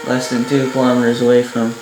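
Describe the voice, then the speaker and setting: A Canadian man casually demonstrating gear in an unscripted, halting way, thinking aloud as he goes. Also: mid-range voice, monotone, over loud music. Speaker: hobbyist presenter demonstrating a vintage boombox. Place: indoor room at a workbench by a window. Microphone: camera mic a short distance away. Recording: roomy, with noise and clicks.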